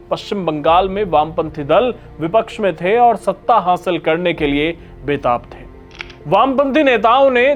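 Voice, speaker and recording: A man delivering scripted documentary narration in a grave, emphatic storytelling manner. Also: high-pitched. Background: background music.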